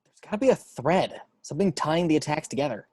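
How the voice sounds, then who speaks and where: A man's voice: a little high, lively intonation, quick pace, a little mellow, breathy edge. A man playing an eager, chatty superhero speedster in a script table read, with a nervous, informal way of talking. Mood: alert.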